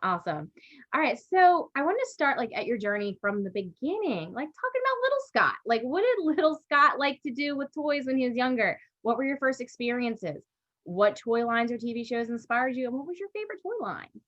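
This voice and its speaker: A woman's voice: sits high, big pitch swings, a little fast, a bit bright, no echo. Dull-sounding, dry woman host on a call. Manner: enthusiastic, many short affirmations. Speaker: interview host. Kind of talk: casual video call interview. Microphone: video call.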